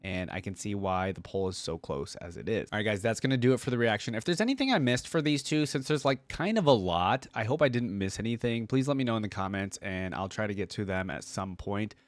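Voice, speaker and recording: A man's voice: on the low side, lively intonation, brisk, few pauses, no room sound. A man hosting a reaction video, giving casual, conversational commentary that rambles along.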